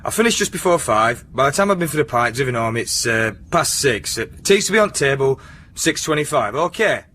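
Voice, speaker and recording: An actor playing a plain-spoken, domineering working-class boyfriend with a Yorkshire accent in a radio drama. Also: male voice, medium pitch, animated, brisk, a bit bright, slight background noise, lightly acted. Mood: bossy, grumbling. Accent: Northern English, Yorkshire. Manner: matter-of-fact, bossy, plain-spoken.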